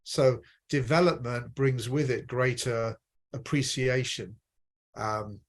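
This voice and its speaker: Unhurried man from Britain's Midlands. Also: medium pitch, fairly even intonation. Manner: measured, explanatory, restarts phrases. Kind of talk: reflective conversation on personal development.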